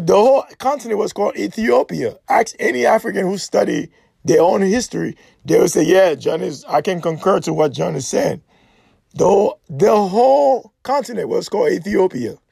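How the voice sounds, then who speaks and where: A man's voice: high-pitched, creaky, a little mellow, slightly rough, dry recording. A man from Haiti, giving an impassioned lecturing monologue, emphatic and insistent, repeating his points and checking in with "okay?". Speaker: speaker giving a history talk.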